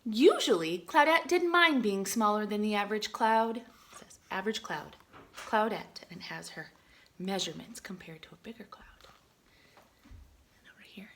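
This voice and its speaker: A woman reading a picture book aloud to children as a teacher, expressively, often dropping into hushed asides. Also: mid-range voice, animated, normal speed, a little hiss or hum.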